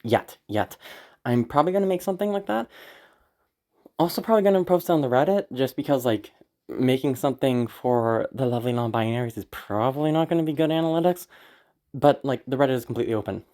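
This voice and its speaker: An audio creator chats informally to listeners in a mid-pitched voice. The delivery is rambling and hesitant, anxious and apologetic, with casual swearing. The throat sounds tired and sore from being sick. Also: brisk, warm tone.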